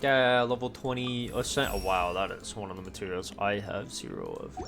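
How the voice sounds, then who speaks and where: A man's voice: on the low side, lively intonation, moderate pace, creaky, music in the background. A man doing casual video game commentary, talking to himself and drifting between exclamations and muttering.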